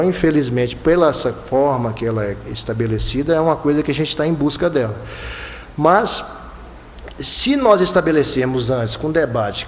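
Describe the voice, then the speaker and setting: Emphatic man with a Minas–Bahia accent. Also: a little high. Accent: Brazilian Portuguese, Minas–Bahia border. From Brazil, Minas Gerais–Bahia border. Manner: emphatic, animated, bursts of rising indignation. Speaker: electoral prosecutor in a debate. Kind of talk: debate talk at a lecture. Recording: long hall reverb, faint noise.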